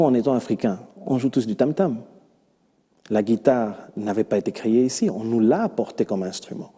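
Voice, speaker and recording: A man with a Congolese accent answering interview questions about himself in an earnest, measured way, with hesitations. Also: mid-range voice, warm tone, breathy edge. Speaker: musician being interviewed. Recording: long reverberant hall-like echo.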